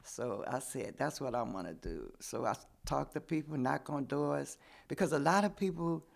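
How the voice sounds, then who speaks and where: A woman's voice: a bass voice, lively intonation, moderate pace, vocal fry. A woman in her seventies, a community volunteer chatting casually as a guest and retelling what neighbors said to her. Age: 70s. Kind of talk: casual storytelling.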